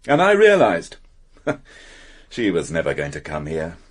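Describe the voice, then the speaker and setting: A bohemian English gentleman painter in a radio drama. His low voice is rough and husky, with expressive rises and falls. He comes across as nervy and defensive. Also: slow, exaggerated voice. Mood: sheepish.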